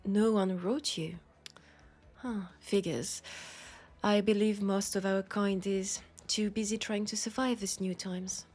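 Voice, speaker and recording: Woman acting a breathy, slow-spoken French-accented vampire. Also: mid-range voice, steady tone, soft background music. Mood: teasing, amused. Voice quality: breathy, soft, slipping into whispers. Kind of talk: intimate audio roleplay addressed to the listener.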